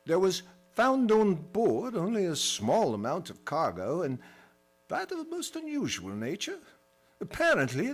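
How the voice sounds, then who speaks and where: An actor playing an ominous narrator in an old-time radio play, telling the tale dramatically. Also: male voice, sits high, slightly rough, exaggerated voice. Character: ominous narrator recounting a ghost-ship discovery.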